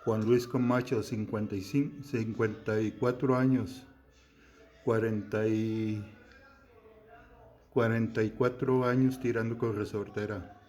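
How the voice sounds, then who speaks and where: A man in his fifties, born in Texas, talks through a slingshot demonstration outdoors. He speaks slowly, unhurried, with pauses and restarts, in a mellow, darker voice. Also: on the low side, fairly even intonation. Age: 50s. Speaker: slingshot shooter. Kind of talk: casual demonstration to camera.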